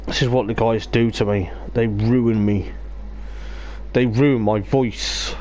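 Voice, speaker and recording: A man with a fairly low voice commentates on sim racing for a livestream in a chatty, rambling, self-mocking way. His voice is strained and starting to go. There is clear noise on the recording. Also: steady tone, slow, a little mellow. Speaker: livestream host and race commentator.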